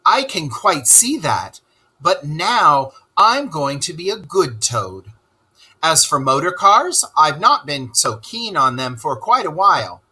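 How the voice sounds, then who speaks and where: A man voicing a vain, self-pitying male toad with dramatic, drawn-out delivery in a children's storybook read-aloud. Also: on the high side, sing-song, sharp tone, slightly rough, voice pushed up, exaggerated voice. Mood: humble, sighing.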